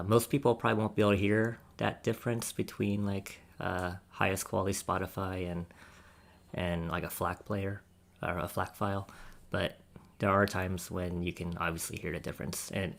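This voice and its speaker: A male podcast panelist with a low voice and plenty of fry. He explains technical matters casually in a fairly even tone, pausing to find his words.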